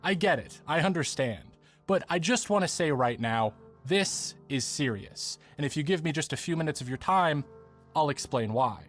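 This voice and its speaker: A man's voice: a little high, lively intonation, moderate pace, fairly bright tone, faint music behind. A man delivering scripted video essay narration, speaking directly to viewers.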